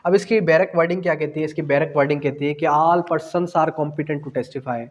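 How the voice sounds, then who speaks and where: A man's voice: a little high, moderate pace. A Pakistani man giving an exam-prep law lecture, didactic, repeating phrases and posing questions that he answers himself. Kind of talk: exam-prep lecture mixing in English legal terms. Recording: long echoing reverb of a hall.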